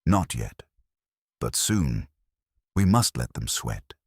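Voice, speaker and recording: A man voicing an imperious, cerebral detective in an audiobook mystery, speaking formally in measured, pompous pronouncements. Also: very deep voice, big pitch swings, darkish tone, slightly rough, no room sound, studio quality, pitched below the performer's own voice, full character voice. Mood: commanding.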